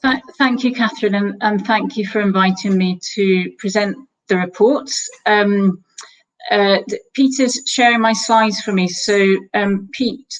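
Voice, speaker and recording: A woman's voice: mid-range voice, unhurried, poor audio. A woman, not Welsh-accented, presenting report findings online and talking with many fillers and restarts. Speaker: guest presenter.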